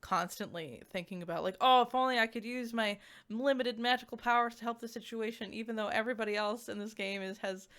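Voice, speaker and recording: A woman giving a casual, conversational review to viewers, animated and peppered with "like" asides. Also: a little high, a little fast, hardly any pauses. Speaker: visual novel reviewer.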